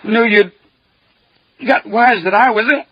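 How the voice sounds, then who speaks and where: A dying young man in an old radio drama, with a high, breathy voice that keeps breaking. He drags out a guilty confession in slow, halting fragments as his strength fades. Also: dry recording. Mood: weak, guilty. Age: young adult. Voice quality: breathy, weak. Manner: halting, broken speech. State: gravely injured, fading. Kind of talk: classic radio drama acting. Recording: muffled old radio recording.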